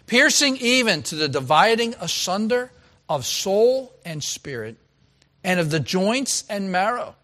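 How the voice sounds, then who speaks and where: Man, pastor, raspy voice, somewhat high, folksy sermon to the congregation. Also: big pitch swings, measured pace, sharp tone. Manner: folksy, chatty, asks the congregation questions. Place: church.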